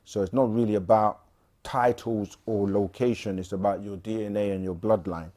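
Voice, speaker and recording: A man co-hosting a casual livestream, reading viewer questions aloud and answering them with frequent pauses and fillers. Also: on the low side. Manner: relaxed, frequent "um" and restarts, reads questions aloud.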